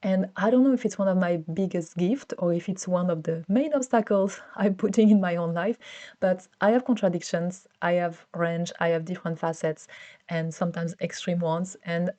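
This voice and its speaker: A woman's voice: fairly low pitch, moderate pace, dark tone. A woman in her thirties with a French accent, chatting casually to camera in a solo vlog, often restarting her sentences. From France. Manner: chatty, with frequent restarts and fillers. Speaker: vlogger.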